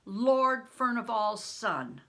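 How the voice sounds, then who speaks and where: A woman's voice: fairly high pitch, big pitch swings, takes it slowly, fairly bright tone, a little hiss or hum, voice pushed up, heavily acted. An older woman reading aloud as a defiant young peasant boy, speaking earnestly and firmly.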